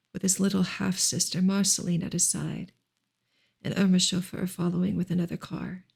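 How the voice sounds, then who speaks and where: A woman reads a novel aloud in a soft, hushed ASMR style, sometimes close to a whisper. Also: medium pitch, vocal fry, slightly rough. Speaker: reader on an ASMR channel. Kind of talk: soft ASMR audiobook reading.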